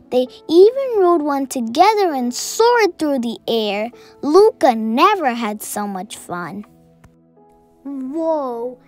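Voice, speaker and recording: A young girl reading a picture book aloud and chatting about the pictures to her viewers. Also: high-pitched. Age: child. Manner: reads text loudly, comments softly to viewers. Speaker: storybook reader.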